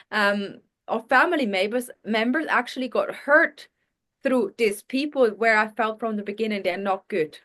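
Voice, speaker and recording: Earnest woman with a higher voice. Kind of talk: casual personal chat.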